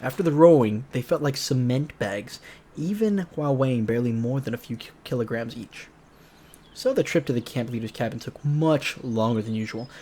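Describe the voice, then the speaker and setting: A man's voice: medium pitch, expressive, moderate pace, mellow. A man narrating a visual novel aloud as a let's player, casual and slangy, with joking asides. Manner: jokey, slangy. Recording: music behind the voice.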